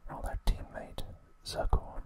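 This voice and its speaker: An ASMR host narrating in a hushed, breathy, unvoiced whisper, slow and unhurried. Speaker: ASMR host recapping an anime series.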